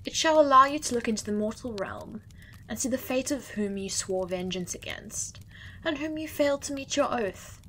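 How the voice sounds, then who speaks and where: A woman's voice: fairly high pitch, expressive, moderate pace, pushed and bright, slight background noise, low-quality recording. A woman playing a wry, grand female judge of the dead who pronounces sentences in a stately, theatrical way. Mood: imperious. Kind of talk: audio drama acting.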